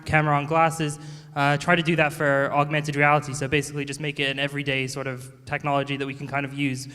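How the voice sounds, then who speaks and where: A man with a smooth, slightly higher voice pitches his idea casually, with easygoing fillers. A long hall reverb trails his words. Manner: relaxed and informal, many fillers. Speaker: startup pitcher.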